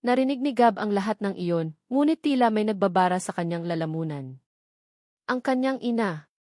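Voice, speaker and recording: A woman's voice: medium pitch, lively intonation, moderate pace, mellow, no echo, clean studio sound. A Filipino woman reading a scripted romance story as a dramatic narrator.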